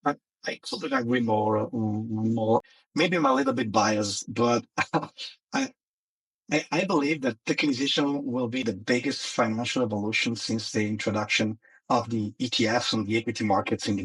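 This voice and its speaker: Ceo guy on a panel, really animated up-and-down voice, kinda far off on the call. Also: medium pitch, gravelly. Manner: animated, repeats words when starting sentences. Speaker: company CEO. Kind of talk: panel discussion on an audio space. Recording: distant online audio call.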